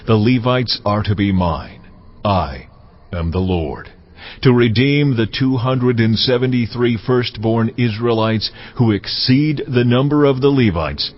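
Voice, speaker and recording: A man playing God in a dramatized scripture reading, giving solemn commands in a measured, authoritative, formal way. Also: medium pitch. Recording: slightly muffled, music underneath.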